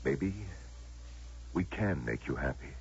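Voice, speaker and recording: Very deep, gravelly, solemn wish-granter. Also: male voice, animated, a little hiss or hum, heavily acted. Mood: persuasive. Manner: measured, grave, persuasive, theatrical. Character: solemn, knowing man who grants wishes. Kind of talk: American radio drama acting. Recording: muffled, lo-fi old radio broadcast.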